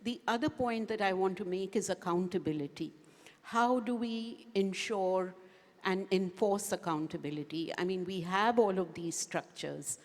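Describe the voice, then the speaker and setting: A woman answering as a conference panelist, speaking thoughtfully with many pauses and fillers. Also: medium pitch, darkish tone. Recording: echoey conference hall.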